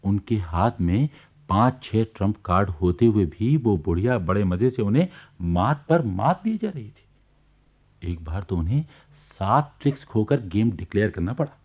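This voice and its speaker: An actor playing an amused storyteller in a radio play, narrating in a wry, chatty way. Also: male voice, on the low side, lively intonation, unhurried, husky, exaggerated voice. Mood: amused, relishing. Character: amused male storyteller recounting neighbourhood gossip. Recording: dull, lo-fi archive radio recording.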